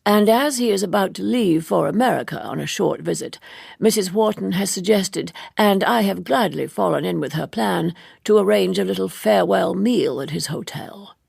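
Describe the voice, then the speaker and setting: A woman voicing a courtly, wordy older gentleman author in a measured, formal, orotund manner, as in an audiobook. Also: medium pitch, creaky, slightly rough, no room sound, studio quality.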